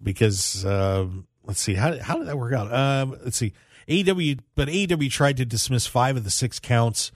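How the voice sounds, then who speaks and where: A man's voice: medium pitch, expressive, normal speed, no room sound, studio quality. A man hosting a radio show, talking casually about sports news in a rambling way and repeating phrases for emphasis.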